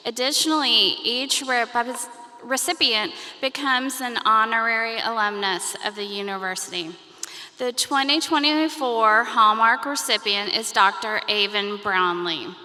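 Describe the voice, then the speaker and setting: A woman with a bright, forceful, fairly high voice presents an honor at an awards dinner. She sounds upbeat and adds light asides, and her voice rings with long reverb in a banquet hall. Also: measured pace.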